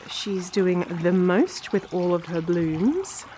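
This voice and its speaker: A woman's voice: on the low side, lively intonation, slow pace, mellow, a little hiss or hum. A woman with a slightly nasal, congested voice, getting over an illness, giving a chatty, casual garden tour as a vlog host. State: recovering from illness, sinusy. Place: outdoors in a garden.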